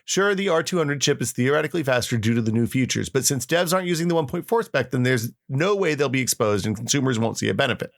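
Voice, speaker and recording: A man's voice: mid-range voice, lively intonation, fast, gravelly, few pauses. A man reading an old forum post as a smug, know-it-all tech reviewer, chipper and lecturing. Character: his younger self, smug know-it-all tech reviewer posting online.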